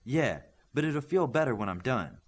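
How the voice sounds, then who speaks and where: A man's voice: mid-range voice, very animated, moderate pace. A man voicing a confident young superpowered girl in an audiobook. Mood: breezy.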